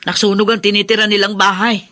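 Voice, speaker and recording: An actress playing a callous, sharp-tongued middle-aged mother in a Filipino radio drama, scolding and dismissive. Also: medium pitch, level tone, fast, natural voice. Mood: accusing, irritated. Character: callous, sharp-tongued mother who spurns her children.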